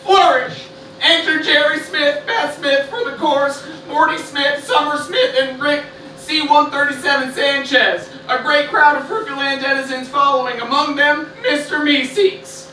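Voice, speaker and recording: Man doing a high squeaky excitable creature, echoey. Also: unhurried, heavily acted. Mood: announcing. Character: excitable squeaky little creature narrator, grandly declaiming. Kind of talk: live comic radio play declamation. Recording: roomy live venue, distant mic, noisy.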